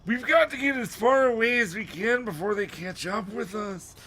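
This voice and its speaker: A man voicing a creepy, frightened old male neighbor from a storybook, urgent and drawn-out. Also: sits high, sharp tone, pitched above the performer's own voice. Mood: anxious.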